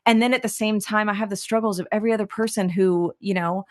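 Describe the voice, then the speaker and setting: A woman from the United States, a singer-songwriter guest in a conversational interview, talking in an animated way as she searches for her words. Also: medium pitch, quick pace. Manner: animated, searching for words, many fillers and restarts.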